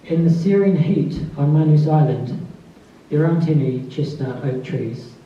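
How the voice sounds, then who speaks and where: A soft-spoken man who gives a tribute introduction at a literary event and then reads a short piece aloud. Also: on the high side, a little slow, slight background noise. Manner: soft-spoken, calls his voice mousy. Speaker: author giving a tribute reading. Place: festival event space. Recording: distant, dull, very echoey room.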